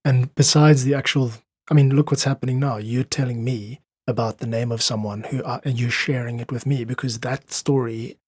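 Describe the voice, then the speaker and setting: A man's voice: mid-range voice, fairly even intonation, normal speed, hardly any pauses, a little mellow, breathy edge, no room sound. A South African man speaking as a musician guest in a conversational interview, emphatic, persuasive and informal as he gives his opinions.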